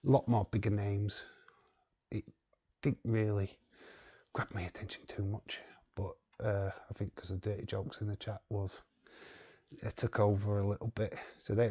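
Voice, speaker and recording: Man host, low husky voice, slow and rambling, live-stream chat feel. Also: flat delivery, warm tone, lo-fi sound. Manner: rambling, reads chat aloud, chuckles often. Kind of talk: casual live watch-along chat.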